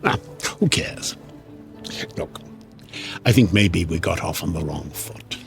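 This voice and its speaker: An actor playing a sardonic, chatty devil in an audio drama, theatrical and mocking, with a husky voice. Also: male voice, sits low, lively intonation, slow pace, faint music behind, heavily acted. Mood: breezy, needling. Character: sardonic, wheedling male devil, casual and mocking.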